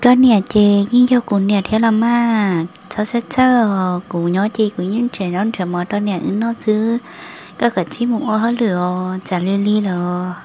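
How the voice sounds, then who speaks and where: An actress voicing a woman in an animated family drama, in an amateur cartoon style. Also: mid-range voice, measured pace, a little hiss or hum, close to an everyday voice. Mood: conversational.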